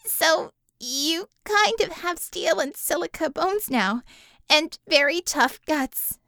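A woman reader voicing a distraught, guilty young woman in high, loud, pleading outbursts. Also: lively intonation, slow pace, no echo, clean studio sound, full character voice. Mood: anxious explaining.

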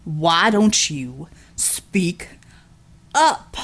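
A woman voicing a heavy-set, bullying male gang leader with a slow, taunting drawl in an audiobook. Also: fairly low pitch, big pitch swings, fairly bright tone, pitched below the performer's own voice, exaggerated voice. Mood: menacing.

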